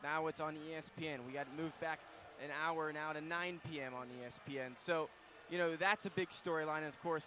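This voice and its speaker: A young man hosting a college basketball pregame show on student radio, courtside in a packed arena, talking excitedly and rambling with fillers. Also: fairly high pitch. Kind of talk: live basketball pregame talk. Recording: faint arena noise behind.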